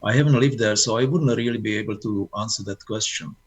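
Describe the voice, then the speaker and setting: Husky man. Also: fairly low pitch. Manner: halting, polite. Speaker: guest presenter at an astronomy club. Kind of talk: informal question-and-answer after a presentation. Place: online video meeting.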